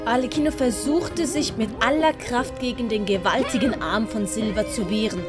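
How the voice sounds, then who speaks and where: A woman's voice: fairly high pitch, moderate pace. A woman with a Swiss German accent reading a scripted children's story as narrator. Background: dramatic music and sound effects.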